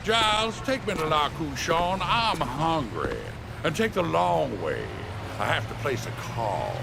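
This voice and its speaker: An actor playing a pompous, scheming lawyer villain with a Southern US accent in an animated game cutscene. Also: male voice, a little high, creaky, background noise, full character voice. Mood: imperious. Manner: pompous, drawn-out, folksy.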